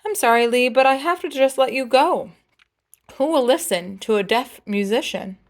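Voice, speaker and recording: A woman's voice: fairly high pitch, lively intonation, moderate pace, voice pushed up. Woman reading as frank male bandleader. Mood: regretful, firm.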